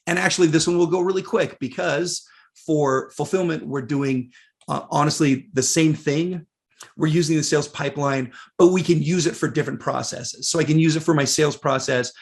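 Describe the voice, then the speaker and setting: Raspy man losing his voice. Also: fairly high pitch, fairly even intonation, normal speed. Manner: casual, many fillers, reads out viewer questions. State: losing his voice, clearing throat. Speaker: webinar presenter. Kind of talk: live software webinar walkthrough.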